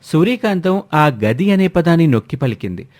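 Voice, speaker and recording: A man's voice: fairly high pitch, very animated, moderate pace, darkish tone, faint music behind. A man narrating a scripted family story as a dramatic storyteller.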